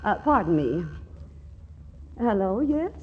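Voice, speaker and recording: An actress playing a polite, businesslike office secretary in a 1940s radio comedy. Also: female voice, mid-range voice, very animated, slow pace, breathy edge, exaggerated voice. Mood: patient. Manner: courteous, businesslike. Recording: dull, hissy 1940s radio recording.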